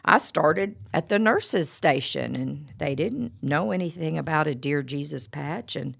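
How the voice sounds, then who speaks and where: A woman's voice: low voice, lively intonation, a little slow, creaky, fairly bright tone. A middle-aged woman giving a devotional talk with personal stories, her voice froggy from a cold, chatty and full of stammered repeats and "you know".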